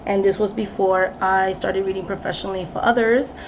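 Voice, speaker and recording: A woman's voice: medium pitch, steady tone, a little fast. Woman in her thirties, tarot reader, casual chatty vlog, lots of ums, slightly muffled sound, faint background hum. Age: 30s. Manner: chatty, many ums and restarts. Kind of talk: casual chat to camera. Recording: slightly dull recording with faint ambience.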